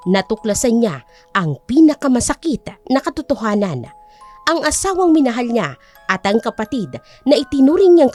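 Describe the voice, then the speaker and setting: A woman's voice: on the high side, a little mellow. A woman narrating an audiobook with a dramatic, scripted storytelling delivery.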